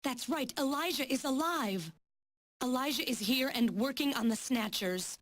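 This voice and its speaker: A woman voicing a grave, sorrowful scientist in a video game, telling of a dark past with dramatic delivery. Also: sits high, expressive, normal speed, dry recording.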